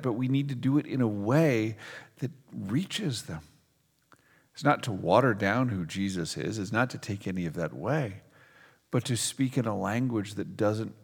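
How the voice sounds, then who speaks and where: A man's voice: medium pitch, vocal fry, breathy edge, long reverb tail. A middle-aged man preaching a sermon to a congregation in a conversational style, leaning hard on key words. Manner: conversational preaching with emphatic stresses. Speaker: pastor.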